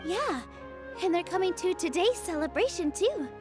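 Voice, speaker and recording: A gentle young dancer from a game, sweet and polite, with a very high, lively voice. Game music plays behind her. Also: normal speed. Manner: soft, polite, earnest. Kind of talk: game voice acting.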